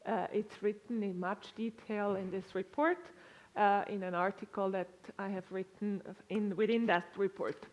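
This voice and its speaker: A woman's voice: medium pitch, fairly even intonation, moderate pace, darkish tone, muffled recording. A woman with a Swiss-tinged accent gives a conference presentation to a live audience in a lecture room, talking conversationally with frequent "uh"s and restarts. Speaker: conference speaker.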